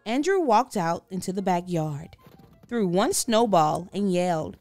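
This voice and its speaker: A woman reading a children's story aloud expressively, with theatrical, deliberate emphasis. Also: fairly low pitch, lively intonation, loud music behind the voice. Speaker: storybook reader.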